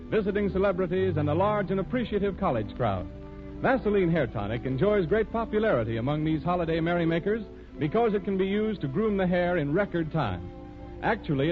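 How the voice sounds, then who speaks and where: A man announcing a 1930s radio show and reading its sponsor copy with formal, polished salesmanship. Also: sits high. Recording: muffled 1930s radio broadcast with music.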